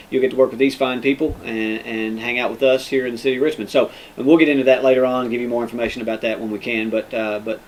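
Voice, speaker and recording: A man with an American accent hosting a casual interview as a police sergeant, in an informal, halting way. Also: medium pitch, poor audio. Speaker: podcast host, police sergeant. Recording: noticeable background noise.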